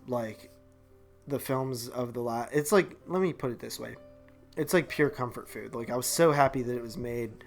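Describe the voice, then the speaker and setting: A man talks as a podcast co-host in a casual movie-review chat. His delivery rambles and is full of slang, at an ordinary male pitch. Faint guitar music plays behind his voice.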